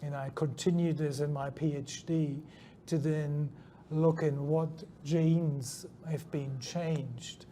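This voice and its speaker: A man's voice: on the high side, fairly even intonation, takes it slowly, dark tone. A middle-aged German-born man with a New Zealand accent, a scientist guest answering interview questions hesitantly, with many ums and restarts. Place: conference venue. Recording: faint background noise at a conference.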